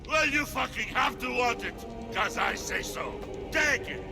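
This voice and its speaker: A man acting a brutal, foul-mouthed cult boss in a video game, shouting and swearing with menace. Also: very high voice, a little slow, creaky, over music, exaggerated voice. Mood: menacing, contemptuous.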